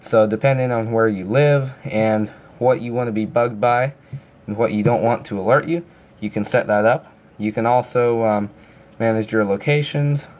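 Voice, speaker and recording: A man's voice: medium pitch, normal speed, darkish tone. A man giving a casual, informal review walkthrough in a plain-spoken explaining manner. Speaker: app reviewer.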